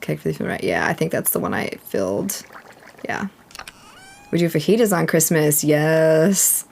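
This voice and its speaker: A woman in her thirties from Texas chatting casually and relaxed while streaming a game, reading chat aloud. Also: sits low, normal speed, creaky, slight background noise.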